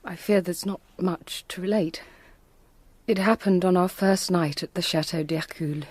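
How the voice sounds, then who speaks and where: Casting: actress for gracious but troubled wife in audio drama, low, restrained and refined, recorded very dry. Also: female voice, steady tone, normal speed, darkish tone, no room sound, lightly acted. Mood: polite. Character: troubled, gracious married lady haunted by an enemy. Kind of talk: radio drama acting.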